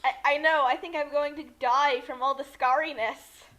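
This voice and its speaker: A woman's voice: high-pitched, steady tone, moderate pace, fairly bright tone, low-quality recording. A woman giving casual comedic commentary in a giggly, incredulous manner.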